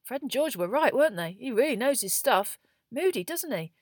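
A woman voicing a blunt, excitable teenage schoolboy in a storybook, talking in a casual, slangy way. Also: medium pitch, moderate pace, no echo, studio quality. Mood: excited.

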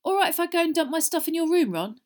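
A woman's voice: extremely high pitch, animated, fast, darkish tone, voice pushed up. Storybook teenage boy, woman reader acting, earnest, urgent, natural delivery.

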